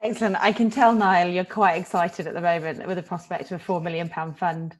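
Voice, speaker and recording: A woman's voice: fairly low pitch, fairly even intonation, fairly quick, low-quality recording. A woman hosting a webinar panel, moderating in an upbeat, encouraging way. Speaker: webinar host. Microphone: video call.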